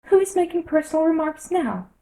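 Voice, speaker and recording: A woman's voice: very high voice, animated, brisk, dark tone, pitched above the performer's own voice. A woman voicing a rude, pushy, self-satisfied man in a storybook reading. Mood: triumphant. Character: bossy, rude, triumphant male Hatter.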